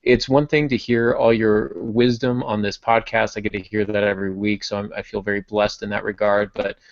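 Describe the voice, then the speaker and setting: A man's voice: fairly low pitch, little pitch movement, normal speed, no echo, dull audio. A man hosting a podcast, talking in a friendly, rambling way with restarts and joking asides. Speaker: podcast host. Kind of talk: casual podcast chat.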